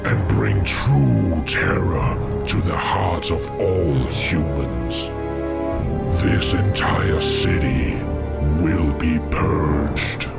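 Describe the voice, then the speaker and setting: A man playing a scheming, menacing orc warlock in a fantasy game cutscene, with a gravelly, grandiose delivery. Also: lively intonation, drawn-out words, full character voice. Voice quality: gravelly, very deep. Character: sinister, scheming male orc warlock commanding death knights. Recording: loud music under the voice.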